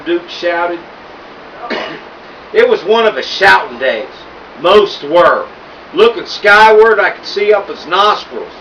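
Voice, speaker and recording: A man's voice: high-pitched, pushed and bright, far from the mic, a little hiss or hum, poor audio. A man reading a children's novel aloud in the first person, with a wry, conversational delivery. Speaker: audiobook narrator.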